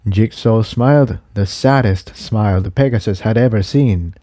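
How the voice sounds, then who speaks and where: A man narrates an adventure story as a scripted reading. His voice is mellow and relaxed, and the recording is close and dry. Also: on the low side, normal speed, no room sound. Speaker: audiobook reader of fan fiction.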